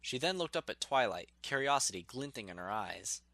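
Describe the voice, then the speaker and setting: Lively, bright-voiced male storyteller. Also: mid-range voice, moderate pace, gravelly, no echo. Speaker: narrator. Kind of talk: expressive scripted storybook narration.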